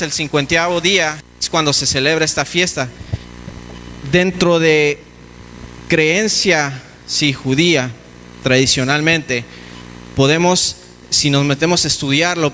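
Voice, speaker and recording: A man from Mexico, preaching a teaching sermon in a church, often pausing with fillers and restarts. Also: on the high side, sharp tone. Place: church hall. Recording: echoey church room with faint noise.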